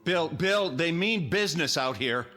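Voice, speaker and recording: An American actor playing a reasonable, indignant neighbor in a radio drama, reproachful and sardonic. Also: male voice, fairly high pitch, expressive, a little slow, sharp tone, soft background music, full character voice. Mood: urgent. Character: indignant, reasonable neighbor pleading against the mob.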